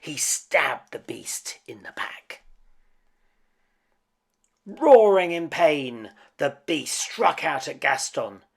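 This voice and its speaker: A man's voice: fairly high pitch, big pitch swings, drawn-out words, gravelly, pauses often. A man reading a children's picture book aloud as a dramatic storyteller. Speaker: storybook reader.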